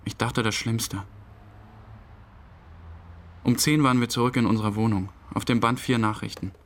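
A man's voice: medium pitch, steady tone, normal speed, natural voice. An actor playing a distraught, brooding husband telling his story in an interview-like radio drama. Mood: bitter, brooding.

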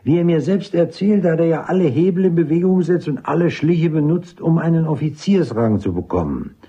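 An actor playing a brisk, authoritative army doctor in a German radio drama, speaking formally and didactically. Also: male voice, fairly high pitch, animated, warm tone, slightly rough, highs cut off. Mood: clinical, assured. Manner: didactic, formal, clipped military address. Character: brisk, authoritative male army psychiatrist with major's rank.

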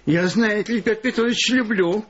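An actor playing a fussy, over-polite, easily exasperated genteel musician in a radio play. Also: male voice, sits high, normal speed, highs cut off, natural voice. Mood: prim politeness. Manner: prim, over-polite, hesitant. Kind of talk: theatrical radio play acting.

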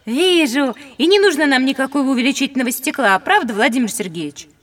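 A clever young girl with a high voice in a children's audio play. She is matter-of-fact and observant, with a gently mocking, practical streak. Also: lively intonation, fast. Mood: observant, sensible. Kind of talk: children's educational audio drama.

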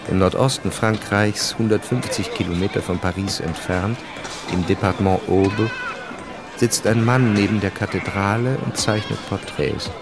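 A man's voice: lowish voice, fairly even intonation, normal speed. A man reading scripted narration for a radio drama. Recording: music bed under the voice.

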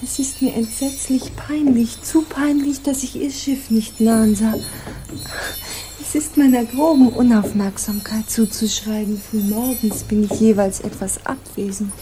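A woman playing a courtly, flattering princess in a radio drama, speaking in ornate, ceremonious and effusively polite phrases. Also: a little high, a little slow, soft background music, lightly acted, rough recording. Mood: apologetic, courteous. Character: courtly, flattering princess visiting a pirate ship. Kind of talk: German radio drama acting.

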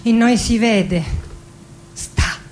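Slow, lyrical melancholy woman poet. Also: fairly high pitch, darkish tone, slight background noise. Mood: defiant, solemn. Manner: dramatic, lyrical, lingering on phrases. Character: passionate, melancholy woman poet, wounded yet defiant in love. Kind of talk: theatrical monologue and poetry recital over music. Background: acoustic guitar music.

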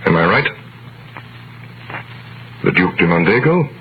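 Rich snooty count, lowish voice, smooth and mocking, dull old radio play sound. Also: male voice, sing-song, slight background noise. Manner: suave, condescending, deliberate. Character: wealthy, imperious male count, coolly mocking. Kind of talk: 1930s radio drama acting. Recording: muffled vintage radio recording.

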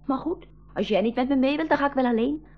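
A woman's voice: sits high, fast talker. A woman with a Flemish accent playing a bold, suspicious seventeen-year-old sister in a 1980s school radio play, in an insistent, conspiratorial manner. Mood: determined, urgent. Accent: Belgian Dutch (Flemish). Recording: dull lo-fi 1980s radio recording.

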